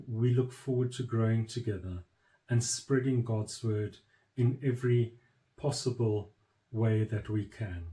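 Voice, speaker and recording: Man, mellow warm voice, earnest address, measured pauses, firm tone. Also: on the low side. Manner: measured, pausing between phrases, firm. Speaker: church leader.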